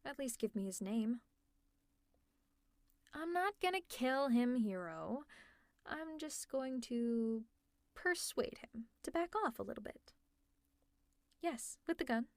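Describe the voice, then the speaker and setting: Woman acting a high-voiced, sardonic villainess. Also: a bit bright, no echo. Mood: menacing, falsely calm. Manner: sardonic, exasperated, caring. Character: protective, teasing female villain fussing over a hero. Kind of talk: scripted roleplay ASMR, one-sided dialogue.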